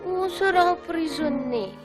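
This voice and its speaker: A woman's voice: very high voice, lively intonation, moderate pace. A woman playing an anxious, pious, scolding wife in a French cartoon dub. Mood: wistful, worried. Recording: loud music behind the voice.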